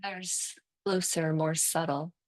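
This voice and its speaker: A woman teaching guided yoga nidra meditation, speaking calmly and soothingly in short phrases with long pauses between them. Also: sits low.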